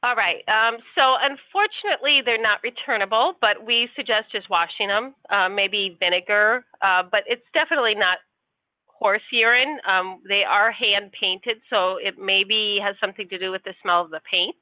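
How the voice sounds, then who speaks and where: A woman's voice: mid-range voice, moderate pace, no room sound. A woman working customer service, answering politely and patiently with some hesitation. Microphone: phone. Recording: tinny dry phone line.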